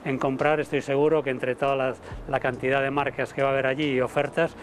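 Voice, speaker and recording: Halting man on an outdoor terrace. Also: mid-range voice, level tone, a little hiss or hum. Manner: halting, many fillers and repeated words. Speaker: motor show director. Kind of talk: interview to camera. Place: outdoor terrace above a city port. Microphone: lapel mic.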